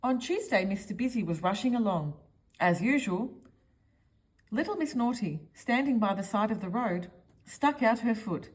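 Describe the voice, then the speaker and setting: Very animated woman storyteller. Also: a little high, moderate pace, rough recording. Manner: expressive, addresses young listeners with questions. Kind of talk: children's picture book read-aloud.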